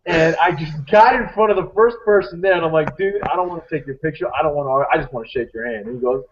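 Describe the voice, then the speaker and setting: A man's voice: a little high, quick pace, slight background noise. A man in his thirties hosting a casual panel chat, rambling and repeating words as he makes his point. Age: 30s. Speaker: host. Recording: muffled lo-fi stream audio.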